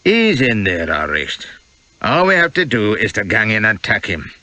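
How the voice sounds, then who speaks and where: A man voicing a gruff, pious, sardonic Scottish sea captain in an audiobook, with a growl in the voice. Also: fairly low pitch, very animated, normal speed, sharp tone, no room sound. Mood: grimly matter-of-fact.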